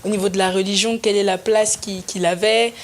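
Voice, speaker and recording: A woman's voice: mid-range voice, steady tone, fairly quick. A woman co-hosting a talk show, welcoming the guest and asking him questions. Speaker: co-host.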